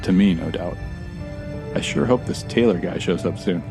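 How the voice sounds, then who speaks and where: A man as a stranded American time traveler, low voice, conversational, earnest, audio drama, music behind. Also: moderate pace, vocal fry. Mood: uneasy, narrating. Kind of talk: first-person audio drama acting.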